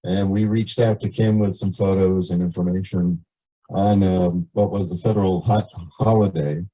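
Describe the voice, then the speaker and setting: A man's voice: low-pitched, steady tone, moderate pace, warm tone, poor audio. A man resident making an informal, hesitant comment at a community meeting.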